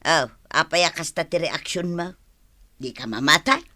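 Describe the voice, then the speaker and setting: A woman's voice: deep voice, measured pace, bright, forceful tone. A woman acting a bossy, practical wife with a small food business in an Ilocano radio comedy drama. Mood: nagging.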